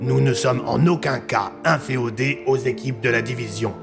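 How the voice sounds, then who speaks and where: Audio drama role: assertive commissioner, done by a man, mid-pitched official delivery with strong musical underscore. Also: creaky, music in the background, natural voice. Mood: firm. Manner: measured, formal, assertive. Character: firm, official police commissioner.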